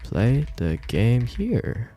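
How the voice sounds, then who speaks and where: A man's voice: medium pitch, huge pitch swings, very slow, mellow. A man livestreaming in an informal, slangy, slightly hesitant way, chatting to viewers and reading game text aloud. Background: music.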